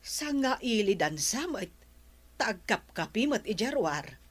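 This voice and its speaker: A chatty, nosy village neighbour with an Ilocano accent. The pitch is average for a woman and the sound is close and dry, in radio comedy drama style. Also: sing-song, measured pace, no echo. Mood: gossipy.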